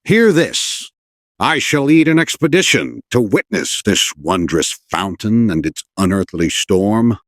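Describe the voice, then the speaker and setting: A man's voice: lowish voice, expressive, unhurried, a bit bright, dry recording, clean studio sound. A man playing a noble, benevolent and commanding king in an audio drama, with stately delivery. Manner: stately, declamatory.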